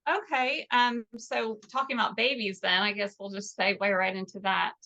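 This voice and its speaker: A woman in her mid-forties explaining things as an interview guest, often pausing to find her words. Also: medium pitch, steady tone, pushed and bright. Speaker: podcast guest, natural skincare product maker. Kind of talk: interview talk explaining products.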